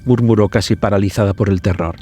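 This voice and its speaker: Casting: male storyteller, dark low voice, suspenseful scripted horror narration over music. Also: fairly even intonation, fast, breathy edge.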